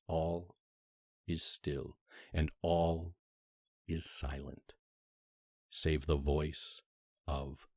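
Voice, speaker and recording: Deep-voiced man narrating dramatically, close and dry. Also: dry recording. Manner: measured, theatrical phrasing with dramatic pauses and hushed drops. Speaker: narrator reading a classic horror story aloud. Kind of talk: dramatic literary audiobook narration.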